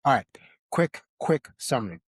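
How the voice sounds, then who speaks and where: A man's voice: mid-range voice, big pitch swings, moderate pace, breathy edge, no room sound, clean studio sound. A man hosting a casual film discussion podcast, speaking emphatically and repeating phrases for effect. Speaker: podcast host.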